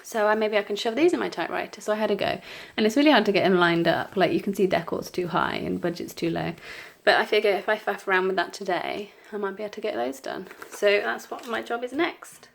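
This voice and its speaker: A middle-aged woman with a croaky cold voice, chatting casually and apologetically at her craft desk, with lots of ums. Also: medium pitch, fast talker, hardly any pauses. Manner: rambling, apologetic, frequent ums. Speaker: planner vlogger. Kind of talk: casual chat over crafting. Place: home craft desk, indoors.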